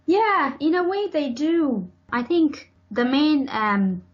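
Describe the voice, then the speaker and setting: A young woman from Ethiopia speaks very slowly and deliberately as a podcast guest, pausing on fillers and repeating words while she works through her ideas. Her voice comes through slightly dull, lo-fi audio. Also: high-pitched, sing-song. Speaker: podcast guest, PhD student. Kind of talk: interview answers.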